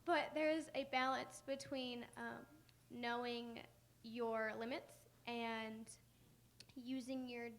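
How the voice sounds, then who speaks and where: A high-pitched woman chatting informally on a panel. She banters easily in a conversational way, with some noise in the room around her. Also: steady tone, takes it slowly. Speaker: young panelist at a patient support symposium. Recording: noisy room, shifting mic distance.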